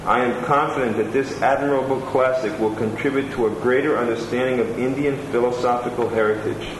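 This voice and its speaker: A man's voice: medium pitch, steady tone, fairly quick. Man reading letters aloud in a roomy space. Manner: plain, attentive reading with asides. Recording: roomy room with clear background noise.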